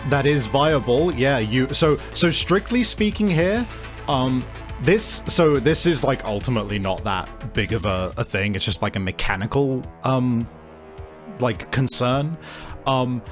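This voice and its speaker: A man acting as game master, narrating and explaining rules in a halting, explanatory way, repeating words for emphasis. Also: medium pitch, animated, music in the background. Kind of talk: improvised tabletop game narration and rules talk.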